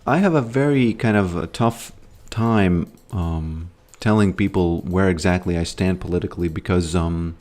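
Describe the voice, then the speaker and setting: A man's voice: low voice, moderate pace, few pauses, a little mellow. A man from Latvia chatting casually with his viewers while streaming a game, rambling and musing as he plays. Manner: rambling, musing, greets chat. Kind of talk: casual gaming livestream chat.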